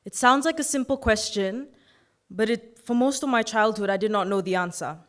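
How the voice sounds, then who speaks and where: A woman in her twenties from Fiji giving an earnest, measured personal speech in a competition. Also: a little high. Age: 20s. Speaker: youth orator.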